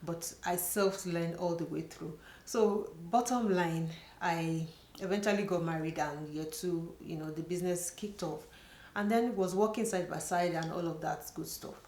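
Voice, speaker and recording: A middle-aged Nigerian woman speaking in a slightly low voice as she tells her personal story to camera. She talks in an earnest, chatty way and often slips in "you know". The room around her rings with echo. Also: normal speed, darkish tone. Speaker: vlogger. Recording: echoey room.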